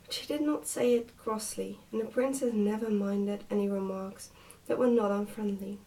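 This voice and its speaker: A soft-spoken woman, often close to a whisper, reading a book aloud in an ASMR style. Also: mid-range voice, fairly even intonation, moderate pace, far from the mic, rough recording. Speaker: ASMR reader and host.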